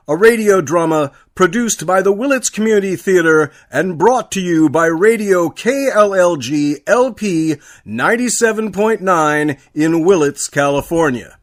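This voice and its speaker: A man reading a scripted radio announcement as the show's closing announcer. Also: on the high side. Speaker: director and credits announcer.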